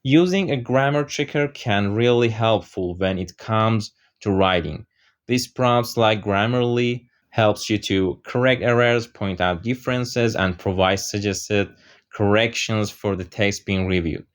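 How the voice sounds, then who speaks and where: A man whose first language is Persian, narrating a software tutorial over screen recordings, explaining step by step with frequent "uh" fillers. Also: on the low side, a little slow.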